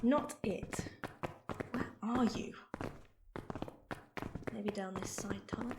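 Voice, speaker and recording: A woman playing a quick-witted, bold alien time traveller in an audio drama, wry, brisk and flippant. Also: mid-range voice, heavy background noise. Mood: guarded. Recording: roomy echo, loud music behind.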